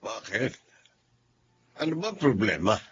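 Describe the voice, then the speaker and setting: A man's voice: fairly low pitch, very animated, a little slow, a bit bright, a little hiss or hum. An actor playing a curious, blunt middle-aged father questioning his son in a radio drama. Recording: muffled radio-play recording with faint music.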